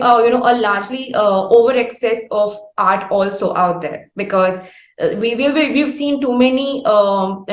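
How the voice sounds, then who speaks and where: A woman with an Indian accent interviewing at length, phrasing her questions in a wordy, halting, reflective way. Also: mid-range voice. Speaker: interviewer, host. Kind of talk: conversational long-form music interview. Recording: echoey, roomy sound.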